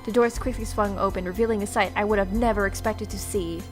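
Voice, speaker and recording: A woman's voice: mid-range voice, steady tone, fast talker, faint music behind. A woman let's player narrating a visual novel aloud as she plays. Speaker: video game let's player.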